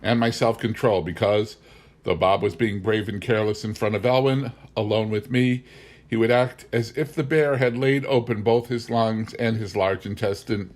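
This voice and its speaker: A man narrating a humorous memoir as an audiobook, with wry, measured storytelling. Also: mid-range voice. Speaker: audiobook reader.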